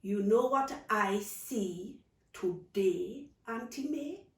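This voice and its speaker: A woman voicing a sulky, earnest, naive little girl in a storybook, halting and childlike. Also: medium pitch, expressive. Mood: troubled. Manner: halting, childlike, dialect grammar. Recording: roomy, distant microphone.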